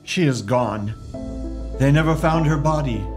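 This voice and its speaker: An actor playing a grieving young English gentleman in a Victorian audio drama, speaking earnestly and formally. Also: on the high side, unhurried, music in the background, lightly acted. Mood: mournful.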